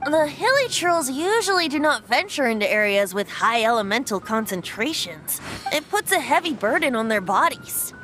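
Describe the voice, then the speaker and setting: A woman voicing a whimsical, free-spirited young boy bard in an animated video game, light and boyish, with a lilting, poetic manner. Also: sits high, normal speed, poor audio. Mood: thoughtful, playful. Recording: music behind the voice.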